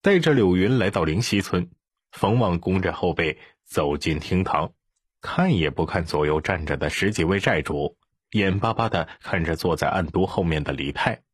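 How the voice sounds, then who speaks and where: A man's voice: low voice, animated, normal speed, breathy edge, dry recording, clean studio sound. A man narrating a scripted audiobook story.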